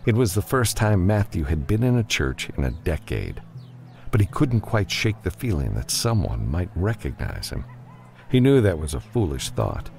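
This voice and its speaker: A man narrates science fiction in an extremely low voice with a gravelly fry. His reading moves expressively up and down, and it is delivered as a scripted audiobook. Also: very animated, normal speed, a little mellow, faint music behind.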